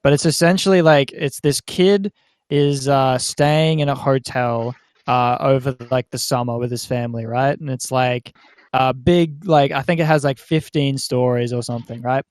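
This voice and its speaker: A man hosting a podcast, telling stories in an animated, casual way and often checking in with his listeners. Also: mid-range voice, normal speed, no echo. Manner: animated storyteller who often checks in with "right?". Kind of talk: casual storytelling chat.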